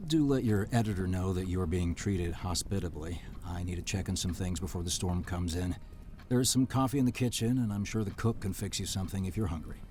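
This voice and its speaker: A man acting a brusque, low-voiced fifty-ish gatekeeper. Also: fairly quick, a little mellow, natural voice. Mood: dry, curt. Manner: curt, dismissive, formal. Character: brusque, protective fifty-ish male assistant guarding his employer. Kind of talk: screenplay table-read acting.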